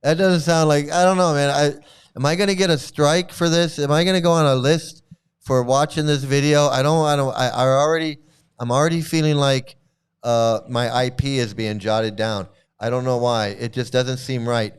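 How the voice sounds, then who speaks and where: A man's voice: mid-range voice, steady tone, normal speed, clear, resonant tone. A man hosting a casual reaction video, laughing often and repeating himself as he talks. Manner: laughs often, repeats phrases, stammering restarts.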